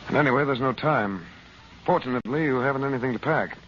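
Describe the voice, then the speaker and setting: A man's voice: medium pitch, fast talker, natural voice. An American man acting a middle-aged adventurer uncle, resolute, worried and protective, in an old-time radio serial. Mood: urgent, resolute. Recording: muffled, noisy old radio recording.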